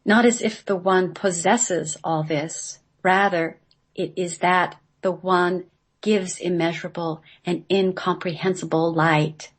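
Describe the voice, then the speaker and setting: A woman's voice: on the low side, fairly even intonation, slow, husky. A woman reading a scripted mystical text aloud in a solemn recitation, as a guest on a radio show.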